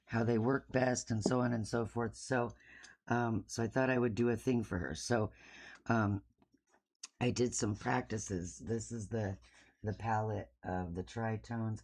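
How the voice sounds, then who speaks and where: A woman's voice: very deep voice, a little mellow. A woman hosting a casual livestream, a bit sniffly from allergies, rambling informally with self-deprecating asides. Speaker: livestream host, art hobbyist.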